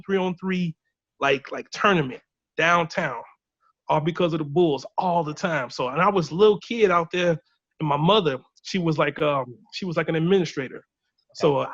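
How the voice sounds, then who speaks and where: A man's voice: fairly high pitch, no echo. A man in his thirties from Illinois, chatting casually and telling stories on a video call. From Illinois, USA. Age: 30s. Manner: relaxed, chatty storytelling with laughs. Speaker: band member on a video chat. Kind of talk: casual chat. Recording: dull lo-fi video call audio.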